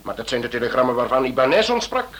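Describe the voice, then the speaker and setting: An actor playing an authoritative, businesslike senior police official in a radio drama, speaking in formal, deliberate phrases. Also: male voice, medium pitch, sing-song, a bit bright. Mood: formal, puzzled. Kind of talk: Dutch-language radio drama acting. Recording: noisy old radio drama recording.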